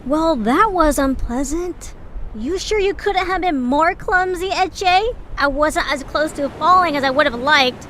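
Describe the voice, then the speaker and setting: A woman playing a grumpy, sharp-tongued female adventurer with dry sarcasm in an audio drama. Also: high-pitched, measured pace, a little hiss or hum. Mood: sour, sarcastic.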